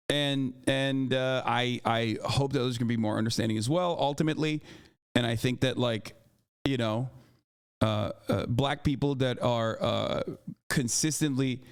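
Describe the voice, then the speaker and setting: A man's voice: mid-range voice, clear, resonant tone. Emphatic lecturing man streaming. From Turkey. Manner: emphatic and lecturing, profane, frequent "okay?" and "you know". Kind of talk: live-stream commentary.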